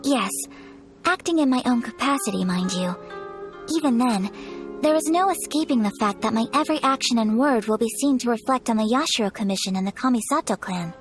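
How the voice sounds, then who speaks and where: A woman's voice: on the high side, lively intonation, fairly quick, over music, lightly acted. An actress playing a polite young noblewoman in a video game, speaking formally with composed courtesy. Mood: composed, firm. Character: refined, polite young noblewoman of high clan.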